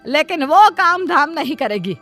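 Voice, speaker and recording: A woman's voice: sits high, sing-song, moderate pace, a bit bright, faint music behind, voice pushed up. Woman voicing a tearful, aching cartoon mother. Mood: indulgent. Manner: wailing, pleading, indulgent toward her daughter. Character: aching tearful middle-aged mother, self-sacrificing. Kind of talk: cartoon storybook voice acting.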